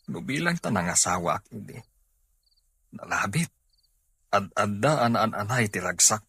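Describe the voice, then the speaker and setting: Man acting husky, brooding older brother. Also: fairly low pitch, animated, normal speed, pushed and bright, no echo, heavily acted. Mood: pensive, evasive. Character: troubled, brooding older brother and farm boss. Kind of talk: amateur Filipino audio drama acting.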